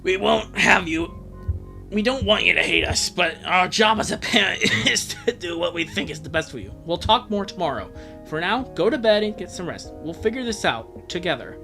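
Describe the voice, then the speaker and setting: A performer reading a concerned, apologetic cartoon parent in a fan dub, measured and consoling. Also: medium pitch, animated, vocal fry, sharp tone, soft background music. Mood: sorry, firm.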